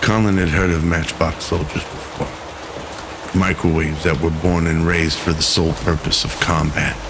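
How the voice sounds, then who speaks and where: A man narrating a scripted radio drama in a measured, solemn storytelling manner. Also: low-pitched, fairly even intonation, background noise.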